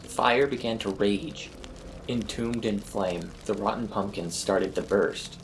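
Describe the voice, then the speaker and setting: A man's voice: on the low side, unhurried, slight background noise, low-quality recording. A man narrating a scripted first-person horror story as its storyteller. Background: eerie ambient music.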